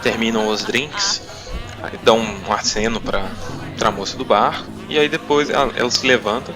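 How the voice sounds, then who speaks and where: A man's voice: mid-range voice, expressive, moderate pace, over music. A young Brazilian man improvising tabletop RPG narration as game master, hesitantly searching for his words.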